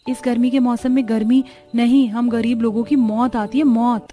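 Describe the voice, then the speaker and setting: A woman playing a young village daughter-in-law in a cartoon story, expressive and chatty. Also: on the high side, normal speed, faint music behind, close to an everyday voice. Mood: plaintive. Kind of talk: Hindi cartoon story dialogue.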